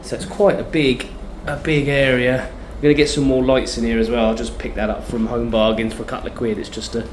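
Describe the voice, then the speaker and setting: British male vlogger, mid-pitched, informal video diary delivery, some background noise. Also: flat delivery, a little fast, few pauses. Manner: informal, chatty, thinking aloud. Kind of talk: casual video diary, talking while showing the space.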